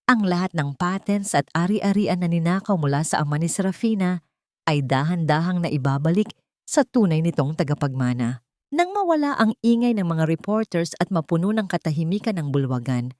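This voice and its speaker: Low-voiced woman narrating a drama. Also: mellow, dry recording, clean studio sound. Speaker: storyteller, narrator. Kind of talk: dramatic scripted story narration.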